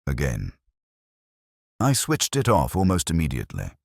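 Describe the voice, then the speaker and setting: A man voicing a melancholy older retired police inspector, narrating a literary detective drama in a measured, wistful, erudite way with wry touches. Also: very deep voice, wildly swooping pitch, vocal fry, no room sound, clean studio sound, exaggerated voice. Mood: brooding, wry. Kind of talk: literary first-person detective drama monologue.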